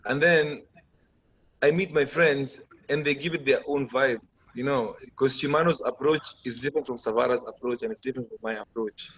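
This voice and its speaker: A man in his thirties with a Kenyan accent, a musician guest on a video call interview, giving reflective, measured explanations. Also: mid-range voice, a bit bright, husky. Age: 30s. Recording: dull lo-fi video call audio.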